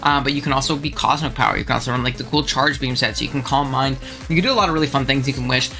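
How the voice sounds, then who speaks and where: A young man with a head cold talks quickly and animatedly, riffing on viewer opinions. His voice is bright and forward, a bit congested, and full of ums and quick laughs. Faint music plays softly behind him. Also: medium pitch, vocal fry. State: stuffed up, congested. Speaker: channel host. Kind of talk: casual commentary reacting to viewer takes.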